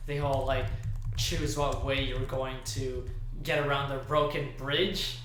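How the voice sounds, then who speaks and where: A man co-hosting a podcast in slangy, casual chat, excitable and quick to laugh. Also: mid-range voice, slight background noise. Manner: excitable, often laughing through words. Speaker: podcast co-host. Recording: roomy, echoey sound.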